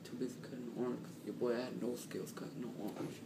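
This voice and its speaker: A man's voice: mid-range voice, flat delivery, fast talker. Man telling a slangy story, echoey and noisy. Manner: slangy, repetitive, acts out quotes. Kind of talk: animated casual storytelling. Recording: roomy, distant mic, clearly noisy.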